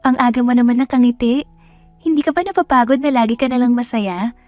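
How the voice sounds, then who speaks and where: A woman's voice: on the high side, fairly quick, darkish tone, no room sound, soft background music, lightly acted. A woman voicing a naive, earnest young country woman, polite and plain-spoken, in a romance audio drama. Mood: bored.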